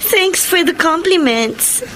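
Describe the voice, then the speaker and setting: An actress playing a playful, coy young Filipino university student in a radio drama. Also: female voice, extremely high pitch, sing-song, extremely slow, noisy background, heavily acted. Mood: coy, surprised. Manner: coy, mixes in English.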